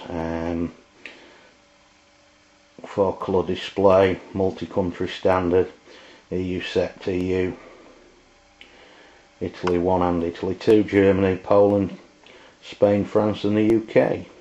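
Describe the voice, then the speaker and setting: Low-voiced man, dull lo-fi sound. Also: little pitch movement, dark tone. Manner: halting, hesitant delivery. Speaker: product reviewer. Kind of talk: casual unscripted review. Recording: dull lo-fi amateur video sound.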